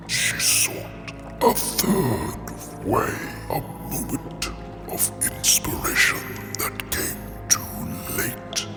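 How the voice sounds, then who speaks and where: A man voicing a menacing monstrous being of hunger and darkness, speaking in slow, ominous, hissing phrases for a roleplay story. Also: sits high, gravelly, voice pushed up, exaggerated voice. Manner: slow, drawn-out, ominous speech with hissing whispers. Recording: long cavernous reverb, music behind.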